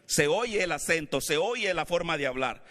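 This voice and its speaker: A male pastor with a Latin American Spanish accent, not Mexican, preaching a sermon in a church and building up to shouted calls to the congregation. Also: on the high side, expressive, moderate pace. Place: church sanctuary. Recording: long hall reverb.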